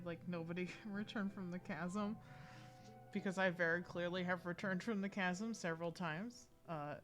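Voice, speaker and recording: Low-pitched woman streaming a game. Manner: rambling, joking, slangy. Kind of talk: casual live gameplay commentary. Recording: game music behind voice.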